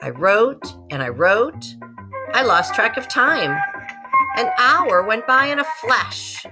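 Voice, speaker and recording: A woman narrates a children's storybook in a very lively, swooping voice. She acts out the story as she reads, with a musical soundscape clearly playing behind her. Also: a little high, takes it slowly, over loud music, poor audio. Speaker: librarian reading a picture book aloud to students.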